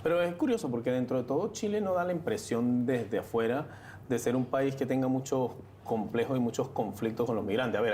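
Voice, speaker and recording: A man's voice: medium pitch, a little mellow, a little hiss or hum. A man hosting a televised interview and debate, framing questions in a conversational way and thinking aloud as he goes. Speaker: TV interview host.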